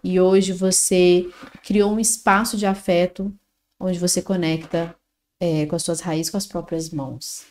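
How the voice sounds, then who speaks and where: Woman podcast host, warm mellow tone, keeps affirming the guest. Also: lowish voice, flat delivery, a little slow. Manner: encouraging, frequent affirmations. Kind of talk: casual interview chat.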